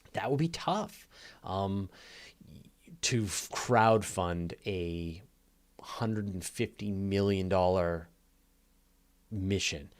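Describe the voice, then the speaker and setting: A man hosting a live question-and-answer show, explaining conversationally and emphatically in an off-the-cuff way, with repetition and everyday comparisons. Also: fairly low pitch. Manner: conversational, emphatic, builds points through repetition and everyday analogies. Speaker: host of a live astronomy Q&A.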